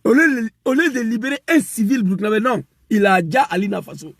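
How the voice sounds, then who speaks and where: Man, gravelly, dry close sound, fiery political rant. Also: high-pitched, a little fast, dry recording. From West Africa. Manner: emphatic, repetitive, rising to shouts. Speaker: political commentator.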